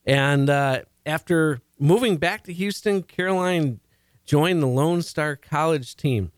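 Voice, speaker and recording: A man's voice: a little high, takes it slowly, dry recording. A man with a Chicago accent hosting a radio talk show, chatty and jokey, stumbling and restarting now and then.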